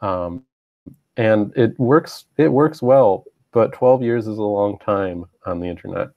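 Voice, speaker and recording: A man with a slight cold giving a live-streamed web training from his own room, halting with frequent ums and throat clearing. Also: on the low side, no room sound, narrow, tinny audio. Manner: halting, many ums and uhs, clears throat often, self-deprecating. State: stuffy, getting over a cold. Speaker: university web team presenter. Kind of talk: live-streamed webinar presentation.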